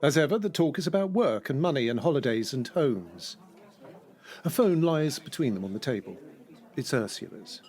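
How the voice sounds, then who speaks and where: An actor playing a knowing, arch storyteller, narrating an audio drama in a theatrical, observing manner. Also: male voice, mid-range voice, animated, normal speed, a little mellow, natural voice. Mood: arch, confiding. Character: knowing, wry male storyteller setting scenes. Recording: music bed under the narration. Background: music.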